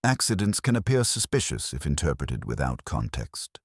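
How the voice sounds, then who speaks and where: A man voices a calm, watchful police inspector in a narrated mystery. The voice is very deep and dry, polite yet firm, with formal wording. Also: moderate pace, husky, dry recording, full character voice. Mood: courteous, mild. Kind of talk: acted dialogue in a narrated mystery story.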